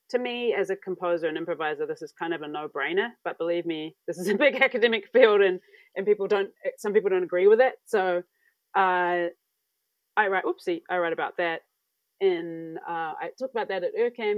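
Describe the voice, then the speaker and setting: A woman in her thirties from New Zealand giving a conference talk over a video call from a room at home, relaxed and searching for words with many fillers. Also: on the low side, dry recording. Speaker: academic speaker. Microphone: earphone mic on video call. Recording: dry, dull video-call audio.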